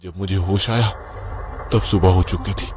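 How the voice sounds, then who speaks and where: A man's voice: low voice, steady tone, moderate pace, background noise. A man telling a horror story as a scripted, dramatic first-person narration.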